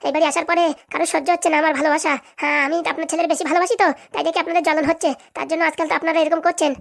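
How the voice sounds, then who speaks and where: Woman acting quarrelsome young wife, cartoon drama, squeaky-high, breakneck pace, scornful, rural Bengali accent. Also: steady tone, rapid-fire, vocal fry. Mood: scornful, defiant. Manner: argumentative, scornful. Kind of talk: cartoon family drama dialogue.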